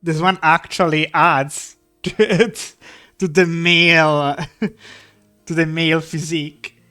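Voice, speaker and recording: Slow, bright-voiced man chatting. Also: on the high side, steady tone, soft background music. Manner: chatty, rambling, swears. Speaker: streamer. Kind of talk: casual chat while drawing.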